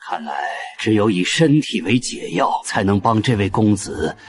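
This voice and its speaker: A knowing old physician from an animated costume drama, played by a man, speaks fairly low with huge swoops in pitch. He is fussy, theatrical and a little shifty. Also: moderate pace. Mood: ominous, knowing. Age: older.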